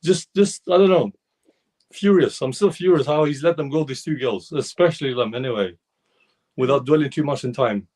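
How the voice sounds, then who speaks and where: A man on a fan show, talking heatedly and rambling with many restarts, his voice slightly hoarse. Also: mid-range voice, normal speed, darkish tone. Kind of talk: casual football fan discussion.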